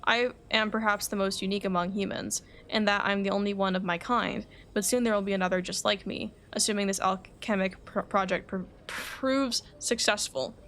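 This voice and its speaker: A cerebral, composed alchemist as read aloud by a woman streamer, speaking in a formal, scholarly, measured way at medium pitch. Faint noise sits behind the game dialogue. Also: steady tone, a bit bright, natural voice. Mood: calm, reflective.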